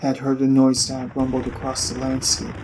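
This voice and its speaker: A man reading scripted story narration in a measured, expressive way with deliberate pauses. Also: mid-range voice, background noise. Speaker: audiobook narrator. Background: music.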